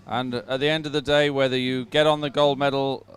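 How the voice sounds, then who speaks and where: A man presenting live sports commentary, pausing as he goes and adding upbeat, sentimental asides. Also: mid-range voice, flat delivery, clear, resonant tone. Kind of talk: live commentary on a dragon boat regatta.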